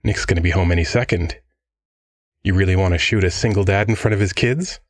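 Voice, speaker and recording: A man playing a swaggering, smug billionaire crime-boss father in an audio drama, with a husky, drawling, mock-affectionate delivery. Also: low voice, a little fast. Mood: amused, taunting.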